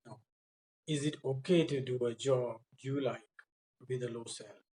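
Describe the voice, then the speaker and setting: Medium-pitched man asking exam questions. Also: steady tone, normal speed, dark tone, poor audio. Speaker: examiner, English tutor. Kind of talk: asking short practice interview questions.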